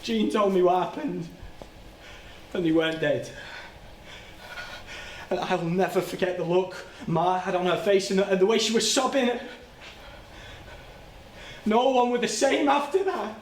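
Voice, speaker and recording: Haunted working-class brother, higher-pitched voice, halting and plain-spoken, stage monologue in a roomy space. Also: male voice. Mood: anguished. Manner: halting, plain-spoken, repeats and stumbles over words. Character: anguished, guilt-ridden working-class man confessing to his sister. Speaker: stage actor. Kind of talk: live theatrical monologue. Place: indoor soundstage. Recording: roomy, noisy soundstage livestream.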